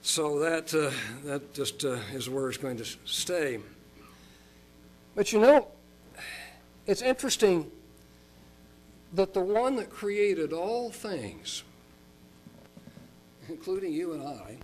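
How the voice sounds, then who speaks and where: An older man from Oklahoma preaching a sermon as a pastor, folksy and chatty, slowing down for emphasis. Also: big pitch swings, darkish tone, a little hiss or hum, poor audio. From Oklahoma, United States. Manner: folksy and chatty, with chuckles and fillers; slows and rises in pitch for emphasis.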